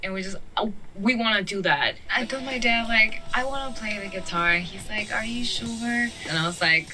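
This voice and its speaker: A woman's voice: mid-range voice, normal speed, low-quality recording. A woman in her twenties, a guitarist telling stories with energy in a documentary interview. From Mexico. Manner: lively storytelling.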